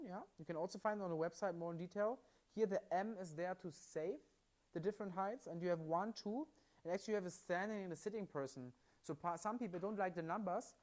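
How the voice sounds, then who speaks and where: A man from Germany with a German accent, presenting products live in a chatty, step-by-step way. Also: high voice. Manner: chatty, upbeat, explains step by step with frequent "yeah?" tags. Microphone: mic worn at his side.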